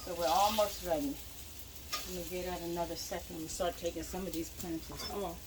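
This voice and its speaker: A woman's voice: lowish voice, fairly even intonation, fairly quick, noisy background. A young woman, a cooking vlogger, chatting casually as she fries food in her home kitchen and moves around the stove.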